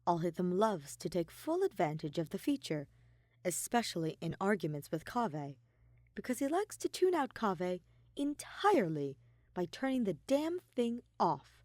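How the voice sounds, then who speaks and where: A woman reading a piece of fiction aloud as an expressive, emphatic narrator. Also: lowish voice, normal speed, no echo, clean studio sound. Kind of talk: expressive scripted story narration.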